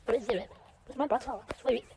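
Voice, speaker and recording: A woman giving casual gameplay commentary, often repeating short phrases in quick bursts. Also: fairly high pitch, creaky. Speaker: gamer commentating own play. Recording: noisy home recording with clicks.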